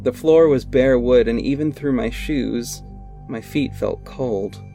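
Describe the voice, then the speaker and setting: A man's voice: medium pitch, slow, vocal fry, dark tone, soft background music. Sardonic young PI and narrator, a man's own voice, plain dry delivery. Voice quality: dry, sarcastic. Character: sardonic young male private investigator and vigilante. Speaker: first-person narrator. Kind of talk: scripted audio drama narration.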